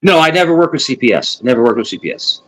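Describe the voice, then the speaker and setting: A man's voice: medium pitch, sing-song, fast, a bit bright, low-quality recording. A man from New York co-hosting a casual talk show, earnest and opinionated, often repeating himself. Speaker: co-host.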